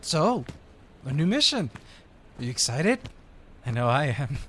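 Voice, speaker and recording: A man's voice: a little high, sing-song, a little slow, a bit bright, slight background noise. A man playing a chatty, eager agent in an audio roleplay, upbeat, friendly and a bit sheepish. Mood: cheerful, eager. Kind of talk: audio roleplay acting, one side of conversation.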